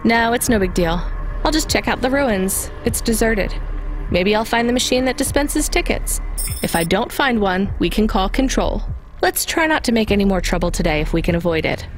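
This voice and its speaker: Casting: actress as calm, wary young female courier for a game, dry and understated, over loud music. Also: medium pitch, normal speed, natural voice. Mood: matter-of-fact. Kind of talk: video game voice acting.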